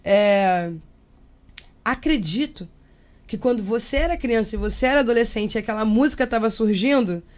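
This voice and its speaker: Young woman, Brazilian accent, fairly high pitch, conversational, expressive, slangy, reflective. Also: moderate pace. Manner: expressive, acts out imagined quotes, slangy. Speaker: interview guest, percussionist and samba singer.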